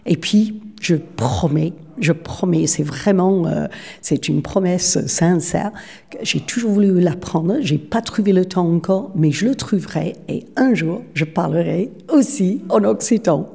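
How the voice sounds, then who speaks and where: A woman's voice: fairly low pitch, measured pace, creaky, dark tone, slightly rough. A woman, speaking as a city official in an interview at a public event, talking eagerly and often repeating words as she searches for the next one.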